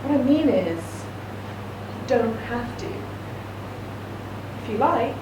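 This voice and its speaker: A woman's voice: on the high side, animated, slow pace. A woman voicing a Hong Kong Chinese woman, an old friend, who speaks casually and hesitates at times. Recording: echoey room, distant mic, noisy.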